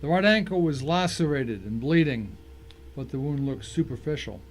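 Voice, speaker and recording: A man narrates a suspense story in the first person, with a mid-pitched voice. He reads from a script in a dramatic way, and there is faint noise behind him. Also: expressive, moderate pace.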